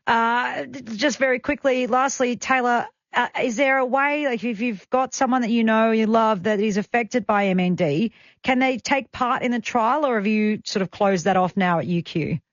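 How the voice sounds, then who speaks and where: A woman's voice: on the high side, moderate pace, no room sound. Aussie woman radio host, enthusiastic and chatty, dry close sound, bit dull broadcast audio. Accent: Australian. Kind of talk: live radio interview. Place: radio studio.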